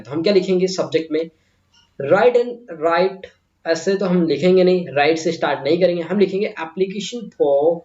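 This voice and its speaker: A man's voice: high voice, darkish tone. A man with an Indian accent giving a step-by-step English exam lesson as a teacher, repeating phrases and asking himself questions as he instructs. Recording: muffled, echoey room.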